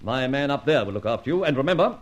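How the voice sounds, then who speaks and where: An actor playing a brisk, commanding police inspector with mocking sarcasm, in old radio detective drama style. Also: male voice, medium pitch, animated, close to an everyday voice. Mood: stern. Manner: barking orders, sarcastic, theatrical. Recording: dull lo-fi old radio recording.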